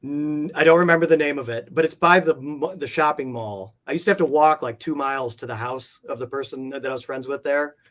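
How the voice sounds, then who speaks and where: A man's voice: medium pitch, a little fast, few pauses, a bit bright. A man being interviewed, telling stories in a casual, meandering way with plenty of informal filler words. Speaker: interview guest, comic art collector. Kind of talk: casual conversational storytelling.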